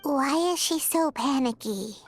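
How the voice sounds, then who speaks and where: A woman's voice: high voice, a little slow, faint music behind. A woman voicing a tiny, perky, kind and chatty childlike sidekick creature in a video game, bubbly and inquisitive. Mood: curious, concerned.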